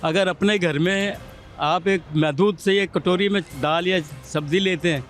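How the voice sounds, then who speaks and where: A man's voice: sits high, unhurried, fairly bright tone. A man with a Pakistani accent laying out his reasons as he gives an opinion in a street interview. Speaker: member of the public interviewed. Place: outdoors on a city street. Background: music over urban outdoor sound.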